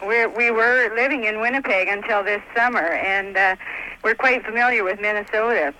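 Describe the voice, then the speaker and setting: A woman's voice: mid-range voice, normal speed, sharp tone, low-quality recording. A woman with a Canadian accent calling in to a radio show, cheerful and laughing often. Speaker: caller. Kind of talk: casual radio phone chat.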